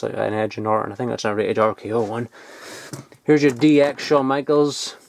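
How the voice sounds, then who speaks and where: A young man chatting casually as he digs through old toy figures, rambling and getting excited. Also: medium pitch, slight background noise. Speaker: toy collector vlogger. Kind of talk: casual unboxing chat.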